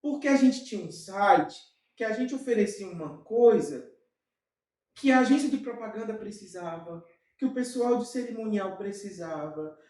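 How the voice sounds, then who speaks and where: A Brazilian man speaks as an interview guest, lively and full of quick asides. His voice sounds set back from the mic in a very echoey room. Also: very high voice, far from the mic. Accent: Brazilian Portuguese. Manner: animated, with frequent fillers and quick asides. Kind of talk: casual storytelling in an interview.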